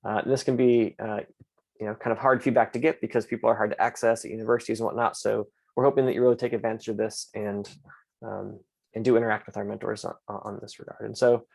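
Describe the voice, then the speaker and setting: A man running an online astronomy class, moderating questions and explaining the course in a relaxed way with many fillers. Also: on the low side, fast, gravelly. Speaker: course instructor and host.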